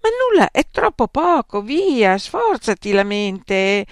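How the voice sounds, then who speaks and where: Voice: high-pitched, expressive, measured pace, gravelly, dry recording, clean studio sound, pitched above the performer's own voice, exaggerated voice. A reader voicing a furious old examiner. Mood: impatient. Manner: shouting, exasperated. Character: stern old male school examiner, losing patience.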